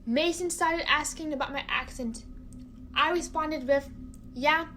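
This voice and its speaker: A young woman from China narrating a personal story, careful and halting, often repeating words. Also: high voice, sharp tone, slight background noise.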